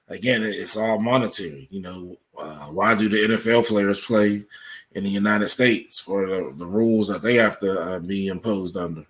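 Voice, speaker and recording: A man answering questions as a podcast guest, explaining his points in a conversational way. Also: fairly low pitch. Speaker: podcast guest, article author. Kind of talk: interview answers, explanatory talk.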